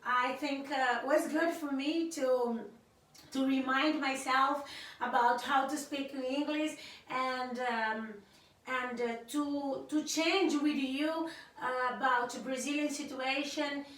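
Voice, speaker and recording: A woman's voice: high voice, fairly even intonation, takes it slowly, fairly bright tone. A woman from Africa whose first language is Creole, sharing her opinions in casual talk on a vlog. She speaks hesitantly, searching for words with many fillers and restarts. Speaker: vlogger on her husband's channel. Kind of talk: casual spoken opinion to camera. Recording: very echoey room, voice far from the mic.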